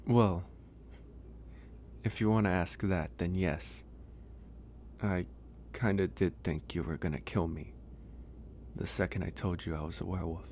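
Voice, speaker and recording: A man playing a gentle, caring werewolf in an intimate ASMR roleplay, speaking softly and hesitantly with pauses. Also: sits low, steady tone, a little hiss or hum. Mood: hesitant, curious. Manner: soft, hesitant, pausing. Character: gentle, caring young male werewolf, shy and teasing. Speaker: audio roleplay voice actor. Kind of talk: ASMR roleplay, intimate one-sided dialogue.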